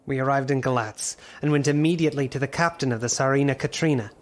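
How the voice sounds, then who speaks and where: An actor playing a sober diarist, giving measured, serious narration in an audio drama. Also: male voice, medium pitch, a little hiss or hum, lightly acted. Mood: businesslike. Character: sober male diarist narrating a gothic pursuit.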